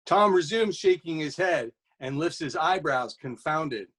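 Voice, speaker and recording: An obliging male student reading out stage directions in a web comedy. His voice sits a bit high for a man, and his delivery is plain and slightly tentative. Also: lively intonation, unhurried, a bit bright, breathy edge, full character voice. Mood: matter-of-fact.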